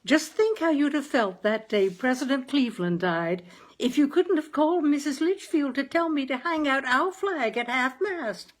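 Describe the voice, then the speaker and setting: A woman voicing a chatty, practical and earnest New England mother with folksy turns of phrase and a husky tone, in a story reading. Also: high voice, lively intonation, normal speed, voice pushed up. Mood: earnest insistence. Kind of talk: audiobook character dialogue.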